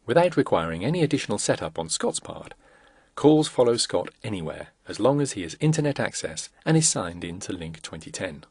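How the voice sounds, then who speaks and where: Low-voiced, slightly husky man narrating. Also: big pitch swings, fairly quick, a little mellow, dry recording, clean studio sound. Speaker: product demo narrator. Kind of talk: scripted corporate narration.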